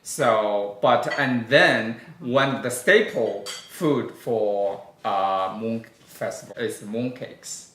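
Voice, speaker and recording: A middle-aged man from northern China hosts a relaxed conversation. He speaks with a Mandarin Chinese accent, halting and filling pauses with uh. The room around him rings with echo. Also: fairly low pitch. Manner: halting, many fillers. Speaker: host. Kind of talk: casual chat.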